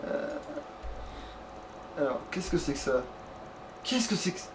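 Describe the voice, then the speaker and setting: A man's voice: fairly high pitch, expressive, measured pace. A man does casual, muttered game commentary with a friend. His talk is informal and a little crude. There is light background noise on the amateur recording. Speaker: let's player.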